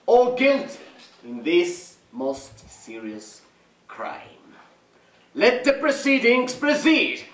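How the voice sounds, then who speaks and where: A man's voice: sits high, a bit bright, heavily acted. A man acting a pompous North Pole magistrate on stage, speaking in a grandly formal, declamatory way. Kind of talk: theatrical stage monologue. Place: indoor theatre stage. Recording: echoey theatre, distant mic, faint noise.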